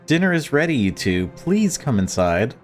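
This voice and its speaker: A man voicing a falsely cheerful, unhinged mother in a horror visual novel, coaxing and brisk. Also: mid-range voice, sing-song, faint music behind, voice pushed up, lightly acted.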